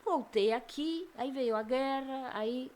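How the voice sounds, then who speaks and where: A woman's voice: high voice, slow, a little mellow. Older Argentine woman, thoughtful speaker. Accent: Argentine Spanish. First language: Spanish. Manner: thoughtful, searching for words, frequent restarts. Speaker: guest, psychologist. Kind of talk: casual interview chat.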